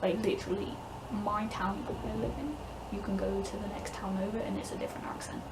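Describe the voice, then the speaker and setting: A woman's voice: mid-range voice, steady tone, a little fast, noisy background. Young English woman with a Midlands-northern accent, casual vlogger rambling and laughing, recorded a bit far off with audible ambience. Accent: English Midlands-northern with southern vowels. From England, north West Midlands. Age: young adult. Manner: chatty, laughs often, frequent "like". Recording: distant mic, clear room ambience.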